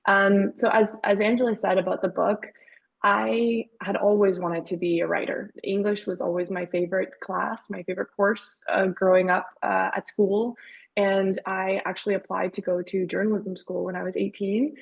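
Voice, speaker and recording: Woman in her 30s, Dutch native speaker raised in Canada, gravelly and a bit husky, storytelling guest over a call. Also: medium pitch, level tone, moderate pace, rough recording. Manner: warm storytelling, frequent fillers. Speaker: guest author. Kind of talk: webinar fireside chat.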